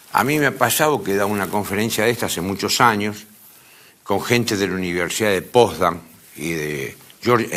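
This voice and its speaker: A man's voice: lowish voice, pushed and bright. A man with an Argentine accent giving an informal academic lecture in an emphatic way, repeating phrases for stress. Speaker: lecturer in philosophy.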